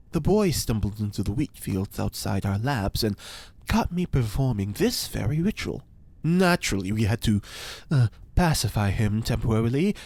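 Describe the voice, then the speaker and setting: A man's voice: mid-range voice, darkish tone, no echo. Man playing a theatrical eccentric occult scientist, swooping delivery. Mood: solemn, wistful. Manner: theatrical, formal, precise, with dramatic pauses. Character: eccentric pompous occult scientist and ex-cult leader, male. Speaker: fictional scientist being interviewed. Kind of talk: science fiction audio drama acting.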